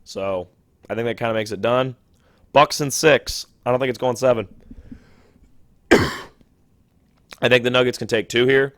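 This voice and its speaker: A young man hosting a sports podcast alone, talking quickly and casually, often correcting himself mid-sentence. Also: mid-range voice, fairly bright tone, no echo. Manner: quick, self-correcting and chatty. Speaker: podcast host. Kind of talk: solo sports podcast talk.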